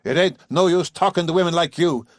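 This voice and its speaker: A man voicing a gruff, bullying old farmer with a whining, rough country dialect. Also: fairly high pitch, brisk, no echo, clean studio sound, voice pushed up, lightly acted. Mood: angry, sneering.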